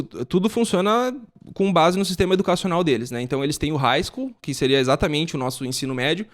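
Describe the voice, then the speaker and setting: A young man from Brazil, a podcast guest explaining things in casual chat and often ending with a tag question. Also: a little high.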